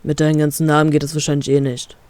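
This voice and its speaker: A man's voice: a little high, fast, smooth, no echo, close to an everyday voice. A man doing a cool, smug pirate captain. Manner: calm, dry and self-assured. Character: cool, cocky young male pirate captain. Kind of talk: fan fiction reading.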